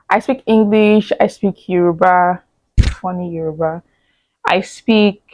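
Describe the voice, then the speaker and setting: A woman's voice: medium pitch, darkish tone, no echo, low-quality recording. A woman in her thirties chatting casually as she answers audience questions, laughing often and thinking aloud. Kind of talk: casual chat.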